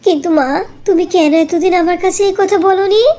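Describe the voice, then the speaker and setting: Very high, pouty little girl. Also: moderate pace, dark tone. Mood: curious, eager. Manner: childlike, pleading. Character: excitable young daughter, pouty and curious. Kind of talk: cartoon voice acting.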